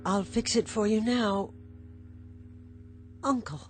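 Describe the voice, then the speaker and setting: A woman's voice: mid-range voice, slow, soft background music, natural voice. An actress playing a meek, downtrodden woman in a radio drama, submissive and weary as she waits on a tyrannical uncle.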